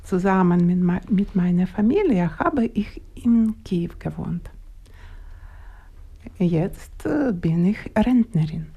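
An older woman in her sixties with a Ukrainian accent talks slowly, with a gravelly, creaky voice. Her tone is dark and mellow. She searches for her words as a guest in a radio studio. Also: lowish voice. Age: 60s. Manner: slow, halting, searching for words. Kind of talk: live studio interview.

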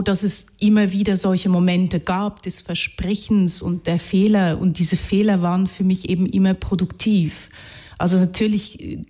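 A woman with a Swiss accent and Hungarian as her first language speaks as a writer being interviewed. Her voice is soft-edged and mellow, pitched a little low. She is thoughtful, often reaching for the right word and rephrasing as she goes. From Switzerland, Zurich region. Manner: thoughtful, searching for words, self-correcting. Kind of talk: reflective spoken interview.

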